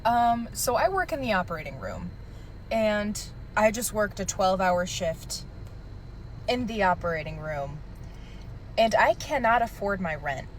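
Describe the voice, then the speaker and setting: A woman's voice: medium pitch, very animated, unhurried, slight background noise, rough recording. A weary, frustrated woman who works in an operating room, venting to camera.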